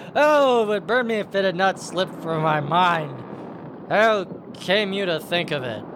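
An actor playing a callous old pirate captain in a radio drama, blustering and exclamatory. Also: male voice, high-pitched, background noise, full character voice. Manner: blustering, exclamatory, old-fashioned seafaring oaths.

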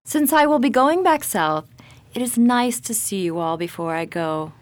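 A woman's voice: on the high side, animated, normal speed, natural voice. A woman playing a regal good witch in a radio drama, stern but caring, stately and formal. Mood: gracious.

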